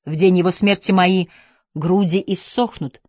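A woman's voice: fairly low pitch, steady tone, measured pace, darkish tone, dry recording, clean studio sound. A woman narrator voicing a passionate, nostalgic former schoolteacher who swings between wistfulness and scolding. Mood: impassioned. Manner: impassioned, by turns wistful and scolding. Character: passionate middle-aged woman, former teacher, fond and scornful.

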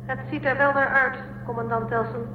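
A woman playing a calm, dutiful ship's intelligence in a science fiction radio play, precise, detached and formal. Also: high-pitched, brisk, noisy background, natural voice. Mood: formal, firm. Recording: echoey tinny audio over loud music.

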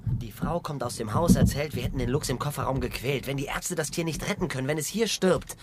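An actor playing an anxious, pragmatic political aide who speaks with urgent caution in a naturalistic radio crime drama. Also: male voice, mid-range voice, fairly quick, noisy background, natural voice. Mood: alarmed.

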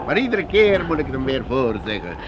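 An actor playing a kindly but bossy master of a troupe of wandering minstrels in a children's radio play. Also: male voice, medium pitch, wildly swooping pitch, brisk, muffled recording. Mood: fondly resigned. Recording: noisy old radio drama recording.

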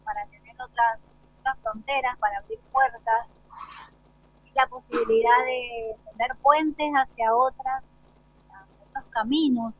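Higher-voiced Argentine woman, dull lo-fi sound. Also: fairly even intonation, unhurried. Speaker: school director being interviewed. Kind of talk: spontaneous interview answers. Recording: dull lo-fi live radio recording.

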